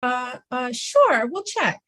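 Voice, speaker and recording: A woman plays a middle-aged mom in a comedy script reading. Her voice is high and very animated, polite and a touch defensive. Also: slow pace, heavily acted. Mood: hesitant, defensive. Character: middle-aged suburban mom, polite but quick to deflect blame. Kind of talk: comedy script table read.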